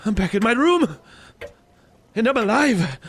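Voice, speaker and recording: High-pitched, creaky, excitable old gent. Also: male voice, measured pace, a little hiss or hum, exaggerated voice. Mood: giddy joy. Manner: theatrical, exclamatory, bursting into laughter. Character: elderly reformed miser, giddy, joyful, excitable. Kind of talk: audio drama acting.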